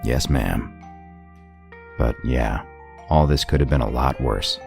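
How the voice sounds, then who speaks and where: Radio play role: man voicing a gruff wolfish sheriff, extremely deep, heavy gravel, terse and dryly teasing. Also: takes it slowly, a little mellow, faint music behind, full character voice. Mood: laconic. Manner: laconic, dry teasing. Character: gruff, sardonic male wolf lawman, loyal underneath. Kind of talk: audio drama dialogue.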